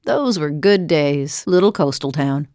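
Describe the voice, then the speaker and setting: A woman's voice: low-pitched, animated, measured pace, darkish tone, no room sound, clean studio sound. A woman playing a weathered, good-humored mercenary in a video game, speaking in a wry, plainspoken, reflective way. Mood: wry, playful. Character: weathered, world-weary but good-humored female mercenary seeking forgiveness. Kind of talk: scripted video game character dialogue. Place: dry studio voice recording.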